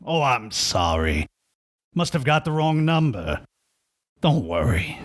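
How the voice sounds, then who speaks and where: An actor playing a menacing intruder in a radio thriller, feigning politeness with measured, false reassurance on a noisy recording. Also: male voice, mid-range voice, big pitch swings, no echo, clean studio sound. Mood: cool, reassuring.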